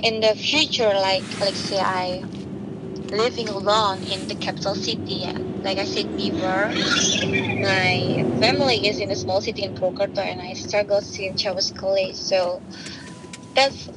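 A woman in her thirties from Indonesia with an Indonesian accent, speaking halting non-native English with restarts as an interview guest. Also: mid-range voice, fairly even intonation. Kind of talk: casual interview. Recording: loud traffic noise behind.

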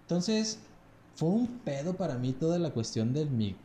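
Mellow Mexican man, casual and crude. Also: on the high side, normal speed, echoey room, slight background noise. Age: 30s. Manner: relaxed, crude slang, ends phrases with "güey". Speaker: podcast guest. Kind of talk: casual storytelling chat.

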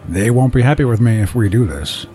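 An actor playing a blunt, protective middle-aged uncle who talks steadily. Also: male voice, mid-range voice, animated, brisk, warm tone, faint music behind, natural voice.

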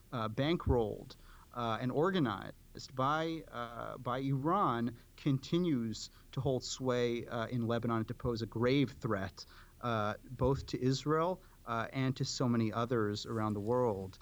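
A man giving formal policy commentary as an interview guest, speaking deliberately in short, halting clauses. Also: medium pitch. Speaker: advocacy organization director, interview guest.

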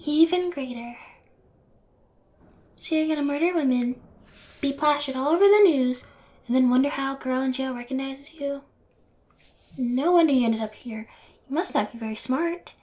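A high-pitched young female convict played by a woman talks with mocking nonchalance. She is sarcastic, fearless and a little unhinged, in the manner of an intimate audio roleplay. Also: normal speed, long pauses, darkish tone, lightly acted. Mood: sarcastic, annoyed.

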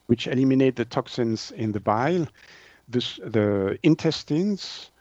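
Halting man, slightly muffled sound. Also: mid-range voice, darkish tone, dry recording. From Switzerland. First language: French. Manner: halting, hesitant delivery with word searching. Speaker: naturopath, interview guest. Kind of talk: explanatory interview answers.

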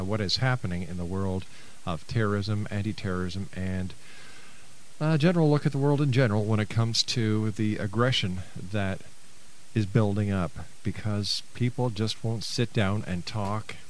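A man's voice: fairly low pitch, slight background noise, poor audio. A man hosting a radio talk show, speaking in a chatty, folksy way as he runs through his show opening.

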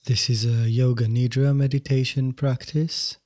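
A man teaching yoga nidra, guiding a meditation in gentle, measured, unhurried phrases. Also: mid-range voice, little pitch movement. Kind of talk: guided meditation instruction.

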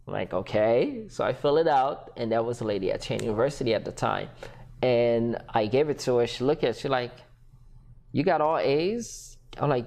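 A man who grew up in Haiti, a podcast guest telling his story in a lively conversational way, often acting out what others said and laughing. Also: mid-range voice, gravelly. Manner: animated, acts out quoted voices, laughs often.

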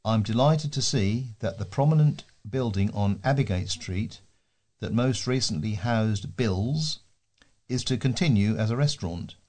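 Lowish-voiced man reading local news. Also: unhurried, darkish tone. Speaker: volunteer newsreader for a talking newspaper. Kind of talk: read-aloud scripted local news feature.

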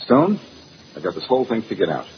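An American actor playing a brash, wisecracking detective in a 1940s radio drama, punchy and hard-boiled. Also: male voice, fairly low pitch, very animated, fairly quick. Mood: excited, confident. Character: brash, wisecracking amateur detective, tough and confident. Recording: muffled, noisy old radio recording.

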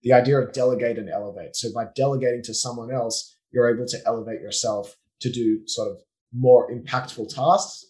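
A man with an Australian accent, a business owner speaking as a guest on a podcast in a relaxed, explanatory way with frequent fillers. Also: medium pitch, a little fast, rough recording. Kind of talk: casual conversational interview.

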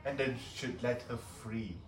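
A man from Malaysia, a podcast guest chatting casually in halting English that repeats words. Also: medium pitch. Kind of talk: casual chat. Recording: echoey room, distant mic, clear background noise.

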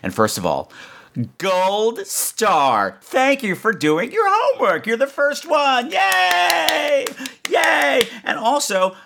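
A man's voice: extremely high pitch, wildly swooping pitch, takes it slowly, creaky, pushed and bright. A man hosting a horror podcast in a casual, campy, exclamatory way, peppering his chat with profanity. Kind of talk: casual chat responding to listener voicemails.

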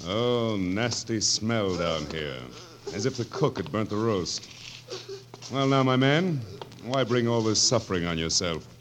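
A man's voice: on the low side, lively intonation, unhurried. An actor playing a sly, cruel king with mock-genial menace in a 1940s radio drama. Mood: menacing, amused. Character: sly, cruel, drily amused old-world king.

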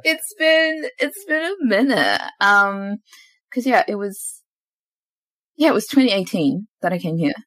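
A woman's voice: medium pitch, a little slow, no room sound. A woman in her twenties who grew up in Zimbabwe and New Zealand, hosting a podcast and chatting casually, telling stories with frequent laughs. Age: 20s. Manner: chatty, laughs often, animated storytelling. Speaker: podcast host. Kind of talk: casual conversation.

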